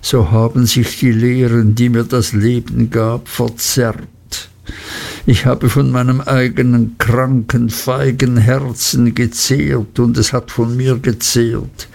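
Man reading as a broken older lawyer, gravelly, slow and anguished, storybook. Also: medium pitch, level tone, darkish tone. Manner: anguished, self-reproaching. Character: despairing, guilt-ridden older male lawyer.

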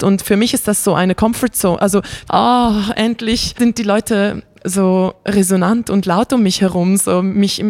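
A woman talking casually and reflectively as a guest, enthusiastic and searching for her words. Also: mid-range voice. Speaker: interview guest, classical singer.

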